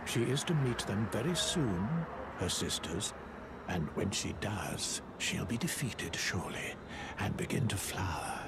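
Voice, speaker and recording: A man's voice: low-pitched, lively intonation, unhurried, gravelly, very noisy, heavily acted. A man playing a fawning, scheming scholar in a video game, obsequious and theatrical, with hesitant politeness and sinister devotion. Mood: apologetic, wistful. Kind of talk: video game character acting.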